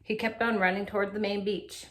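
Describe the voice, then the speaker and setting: A woman reading a children's picture book aloud in an animated, expressive way, adding little asides for young listeners. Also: medium pitch, a little fast, low-quality recording.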